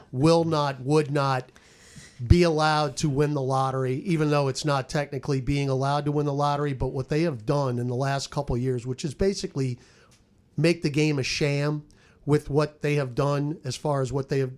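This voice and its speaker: Emphatic man talking sports, slightly high voice. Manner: emphatic, repeating words for stress. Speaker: sports radio commentator on a roundtable. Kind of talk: opinionated sports talk.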